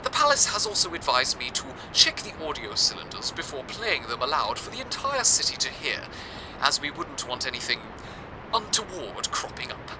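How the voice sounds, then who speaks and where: A man's voice: fairly high pitch, big pitch swings, moderate pace, background noise. A man playing an earnest, deferential radio presenter in an audio drama, speaking in a formal, theatrical scripted style. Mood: apologetic. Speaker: radio broadcast host.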